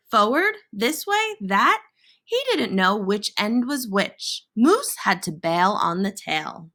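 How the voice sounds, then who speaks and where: A woman reading a children's picture book aloud in an expressive, theatrical way with dramatic pauses and emphasis. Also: on the high side. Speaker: storybook reader.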